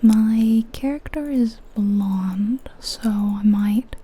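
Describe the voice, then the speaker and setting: A woman whispering softly and breathily in an ASMR style, gently musing aloud as she comments on a video game. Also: mid-range voice, very slow, breathy edge.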